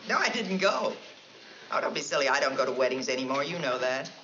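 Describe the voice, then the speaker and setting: A woman's voice: extremely low pitch, expressive, brisk, slight background noise. A woman playing a chatty married woman gossiping on the phone, firing off punchlines and laughing at them. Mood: gossipy. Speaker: comedienne doing a phone monologue. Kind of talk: 1960s sitcom acting. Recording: dull old TV audio with loud studio audience.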